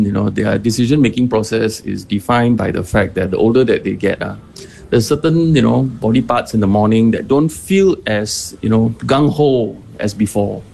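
A man's voice: mid-range voice, gravelly, darkish tone, a little hiss or hum. A man hosting a morning radio show, joking casually and listing points offhand. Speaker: radio host.

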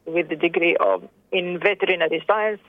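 A woman's voice: on the low side, steady tone, normal speed, gravelly, no room sound. Woman on a tinny phone line. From Sudan. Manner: hesitant, many fillers and restarts. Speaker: interview guest. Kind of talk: phone interview.

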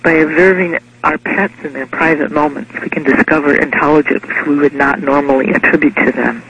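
A man's voice: on the high side, steady tone, fairly quick, a crowd in the background. A man reading out a prepared personal story. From Indiana, United States. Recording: muffled, noisy radio-like recording.

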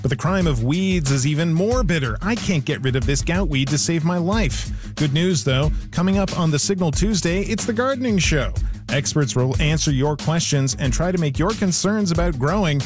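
A man's voice: a little high, lively intonation, normal speed. A man hosting radio, reading a scripted, tongue-in-cheek promo. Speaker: radio show host. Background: prominent music.

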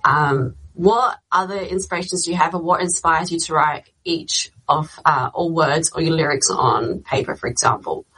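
A woman's voice: low voice, normal speed, hardly any pauses, fairly bright tone, husky, rough recording. A woman hosting a radio interview, asking questions in a chatty way and backing up her guest with short replies. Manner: chatty, encouraging listener. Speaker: radio host.

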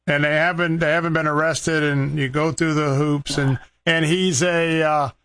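Higher-pitched American man hosting talk radio. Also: sharp tone, dry recording. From Tampa, Florida, United States. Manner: halting, repeats and restarts phrases while making a point. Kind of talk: opinionated call-in radio talk.

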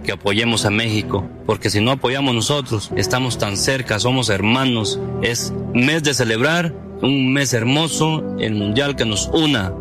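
A man's voice: medium pitch, normal speed, music in the background. A man from Guatemala giving an earnest, sentimental video message.

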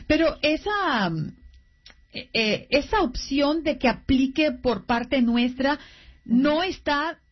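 A woman's voice: sits high, animated, takes it slowly, lo-fi sound. A woman hosting an interview, asking questions in an inquisitive way. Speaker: interviewer, host.

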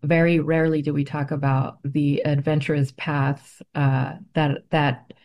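A middle-aged woman and native Spanish speaker giving reflective interview answers as an educator, speaking in an earnest, deliberate, articulate way. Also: low-pitched, level tone, darkish tone. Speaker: ethnic studies educator, interview guest.

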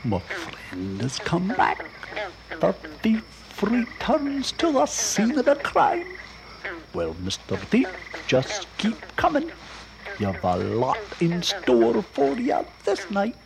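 An actor voicing an excitable, vengeful animal who owns a vineyard, blustering and sputtering in a cartoonish audio drama. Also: medium pitch, takes it slowly, gravelly, crowd noise, heavily acted, rough recording. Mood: menacing scheming. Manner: blustering, sputtering, repeats words in agitation.

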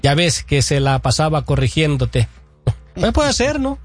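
A man co-hosting a relaxed sports show, reading out viewer messages and chiming in with agreement. His voice is slightly husky and sits a little high for a man. Soft music plays in the background. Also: measured pace, no room sound. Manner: agreeable, frequent affirming interjections.

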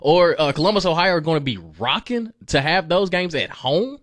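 A man's voice: a little high, animated, normal speed, a bit bright. A man co-hosting a sports talk show, chatting casually and informally with an agreeable, exclaiming manner.

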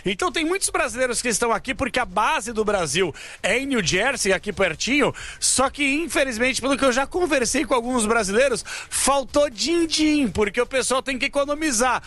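A man reporting live for radio from a busy outdoor square, lively and joking as he chats with passers-by. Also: extremely high pitch, fairly quick. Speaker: field reporter.

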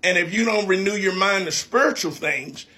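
Bright, high-voiced man preaching. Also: moderate pace. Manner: emphatic, repetitive preacher cadence. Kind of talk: preaching in conversation.

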